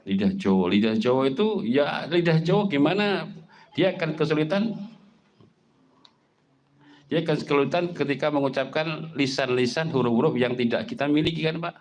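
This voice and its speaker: A middle-aged Javanese man giving a lively sermon, with a Javanese accent, often jumping up in pitch for emphasis and asking rhetorical questions. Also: moderate pace, long pauses, a little hiss or hum. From Java, Indonesia. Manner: animated, swings pitch up for emphasis, rhetorical questions, mimics speech. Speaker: Islamic preacher.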